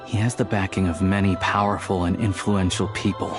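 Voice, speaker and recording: Male actor as earnest young man, lowish voice, measured explanatory delivery, level-headed, video game dialogue, music behind. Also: level tone, close to an everyday voice. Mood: grave, explaining.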